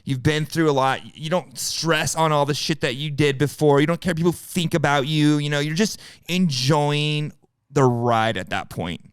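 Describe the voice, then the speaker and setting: Smooth-voiced man in his forties, chatting. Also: on the high side, moderate pace. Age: 40s. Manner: blunt, joking, swears freely. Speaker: podcast co-host. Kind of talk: casual chat.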